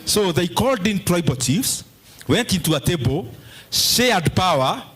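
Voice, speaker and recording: A man's voice: high-pitched, big pitch swings, unhurried, a bit bright, husky, rough recording. A middle-aged man with a Kenyan accent speaking at a public forum and answering audience questions. He talks emphatically and drops Swahili phrases into his English. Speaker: politician addressing a forum.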